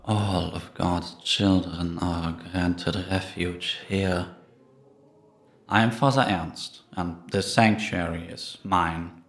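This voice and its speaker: An actor playing the ghost of an old priest in an audio drama, serene, solemn and gently probing, in a measured delivery. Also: male voice, sits low, fairly even intonation, vocal fry, heavily acted. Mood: solemn, welcoming. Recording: echoey stone-room reverb.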